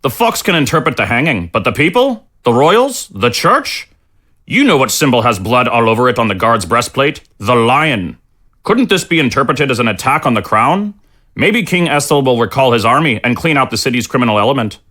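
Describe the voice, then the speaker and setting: A man's voice: medium pitch, very animated, moderate pace, pushed and bright. A man playing a proud, stubborn, blustery innkeeper with colloquial speech in a fantasy audio drama. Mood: cautioning. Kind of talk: scripted audio drama acting.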